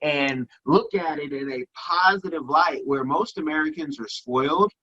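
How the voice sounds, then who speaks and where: A male podcast host with a husky but bright voice, heard on a dull lo-fi recording. He asks long, winding questions, restarting his sentences as he goes. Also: fairly high pitch, normal speed. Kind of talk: casual interview questions.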